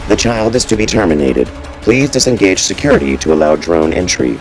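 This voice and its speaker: A man voicing a calm, gentle, emotionless palace computer that gives deadly orders in a visual novel drama. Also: low voice, fairly quick, faint music behind, pitched below the performer's own voice, poor audio. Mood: coldly calm. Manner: calm, gentle, impassive delivery. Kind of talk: scripted visual novel voice acting.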